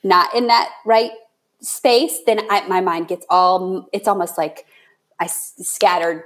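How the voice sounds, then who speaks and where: A woman hosting a casual interview chat, thinking aloud in a halting way as she works toward her point. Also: fairly low pitch. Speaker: podcast host and writer.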